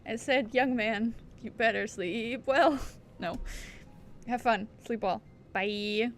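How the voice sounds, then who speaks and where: A woman's voice: fairly high pitch, slow pace, slight background noise. A woman streamer giving casual, wry and playful asides while playing a game. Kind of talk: casual live game commentary.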